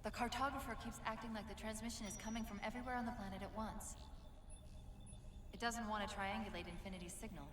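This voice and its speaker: A woman playing a quick-witted female AI companion in a game cutscene, brisk and wry with clipped urgency. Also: mid-range voice, fairly even intonation, background noise, lightly acted. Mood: analytic. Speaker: ship's AI.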